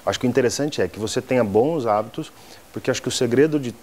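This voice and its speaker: A man's voice: medium pitch, quick pace, darkish tone, a little hiss or hum. A man in his thirties with a Brazilian accent, explaining things as a surgeon interviewed in a TV studio. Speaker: plastic surgeon, interview guest.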